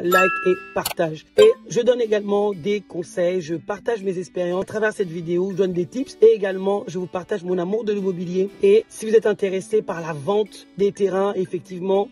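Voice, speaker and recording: Slightly hoarse woman vlogging. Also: fairly low pitch, darkish tone. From DR Congo. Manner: chatty, with frequent restarts and repeated words. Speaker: entrepreneur and vlogger. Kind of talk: casual vlog talk to viewers.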